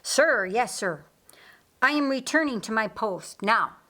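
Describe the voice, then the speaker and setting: A woman's voice: on the high side, animated, a little slow, sharp tone. A woman voicing a dutiful, skeptical male angel soldier in an audiobook, careful and clipped. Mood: obedient. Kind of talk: audiobook character acting.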